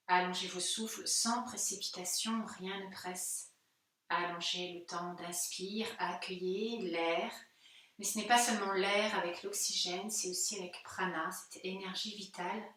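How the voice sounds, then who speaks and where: A woman, a yoga teacher giving calm, unhurried and soothing guided relaxation instructions. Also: medium pitch. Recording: very echoey room, distant microphone.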